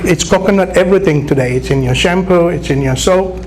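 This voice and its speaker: A man's voice: high-pitched, a little fast. A middle-aged man with a Sri Lankan accent gives a conversational motivational speech to an audience, often checking in with "right?". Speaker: guest motivational speaker. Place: live talk at a business chamber event. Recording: clear background noise, live event.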